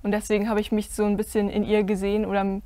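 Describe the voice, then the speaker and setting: A woman's voice: medium pitch, monotone, very fast, mellow, dry recording. A teenage girl on a discussion panel, speaking earnestly and thoughtfully with frequent fillers. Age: teen. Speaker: youth panelist. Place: indoor studio set with curtains.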